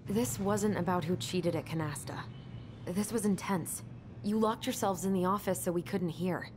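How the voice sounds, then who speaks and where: A woman's voice: fairly low pitch, normal speed, noisy background, natural voice. An actress playing a skeptical, sardonic young woman in a naturalistic video game performance. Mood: confrontational. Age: young adult.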